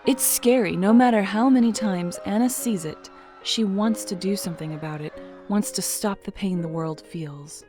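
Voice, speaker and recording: A woman narrating an audio drama in a measured, dramatic storytelling manner. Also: medium pitch, dark tone, faint music behind.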